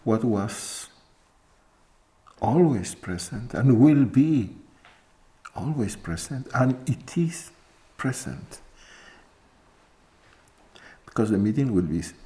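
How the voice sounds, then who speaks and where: Slow, low-voiced man teaching softly. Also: expressive. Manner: slow, reflective, with pauses and soft laughs. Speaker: spiritual teacher. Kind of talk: spiritual talk to an audience.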